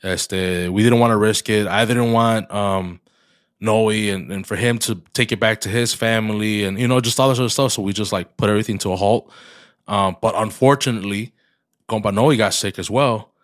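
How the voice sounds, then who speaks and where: Congested young guy on a podcast, lowish voice, dry close mic, switches into spanish, lots of swearing. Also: fairly even intonation, normal speed, no room sound. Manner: mixes English with Spanish, swears often. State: congested, coughing. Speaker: podcast co-host. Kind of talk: casual podcast chat.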